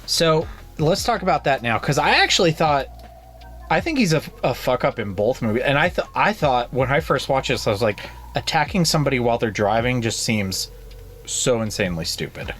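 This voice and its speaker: A man's voice: medium pitch, animated, fairly quick, a bit bright, soft background music. A man co-hosting a horror book podcast, talking casually and bluntly, swearing now and then. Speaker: podcast co-host. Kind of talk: casual chat.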